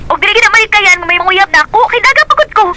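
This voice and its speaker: A brisk, defensive girlfriend. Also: extremely high pitch, lively intonation, sharp tone, full character voice. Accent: Cebuano. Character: young woman, distant and defensive girlfriend. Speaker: radio drama actress. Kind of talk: Cebuano radio drama acting.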